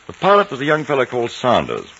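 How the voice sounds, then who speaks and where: An actor playing an educated, reflective English man telling a story in a measured literary manner, in an old radio drama. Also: medium pitch, wildly swooping pitch, fairly bright tone. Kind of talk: 1950s radio drama narration. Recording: dull, noisy vintage radio recording.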